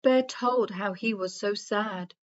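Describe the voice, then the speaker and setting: Slow-paced woman reading a rhyming children's story. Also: medium pitch, highs cut off.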